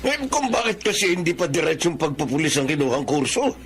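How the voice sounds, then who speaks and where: Scornful Filipino father, high-pitched voice. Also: very animated, fairly quick, a little hiss or hum. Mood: scornful griping. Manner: sneering, griping, with exaggerated emphasis. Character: scornful middle-aged father belittling his own son. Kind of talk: Filipino radio drama acting.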